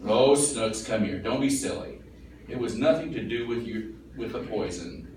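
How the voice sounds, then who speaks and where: A man's voice: fairly low pitch, moderate pace, a little mellow, close to an everyday voice. An actor playing an exasperated, boastful father in an old-time radio comedy, lecturing in a blustery, easily irritated manner. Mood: irritated, evasive. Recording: echoey room, distant mic, noisy.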